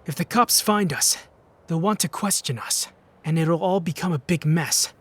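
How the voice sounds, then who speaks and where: A man playing a troubled young psychic who narrates his story in a brooding, hushed, dramatic fan-dub style. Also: fairly high pitch, moderate pace, slightly rough. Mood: resolved.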